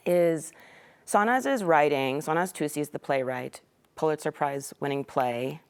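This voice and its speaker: A young woman speaks as a guest on a TV studio couch, her voice sitting a little low. She talks thoughtfully and reflectively, filling pauses with "um" and "like". Her voice comes through a clip-on mic. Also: a little mellow, clear, resonant tone. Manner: thoughtful, uses fillers like um and like. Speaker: actor guest. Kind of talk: casual interview chat.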